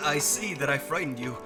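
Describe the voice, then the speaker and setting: A man voicing a gushing, polite idol talent scout in an anime dub. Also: on the high side. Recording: music behind the voice.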